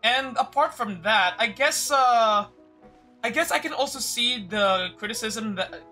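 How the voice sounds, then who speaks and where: A streamer talks casually over a game in a bright, forward voice. The delivery is halting, circling back over phrases while thinking. Faint music sits in the background. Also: mid-range voice, lively intonation. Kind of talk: casual live game commentary and reading dialogue aloud.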